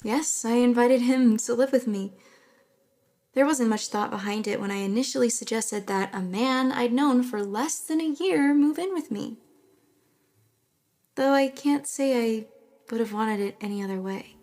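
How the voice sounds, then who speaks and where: A woman playing a caring, awkward young friend in an intimate audio drama, with a soft, earnest, stammering manner. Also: fairly high pitch, normal speed, natural voice. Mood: reflective.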